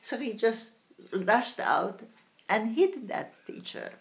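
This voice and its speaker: An older woman with a Polish accent, a retired teacher telling stories in an interview, lively and halting with many restarts. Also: a little high, vocal fry. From Poland. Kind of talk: interview storytelling.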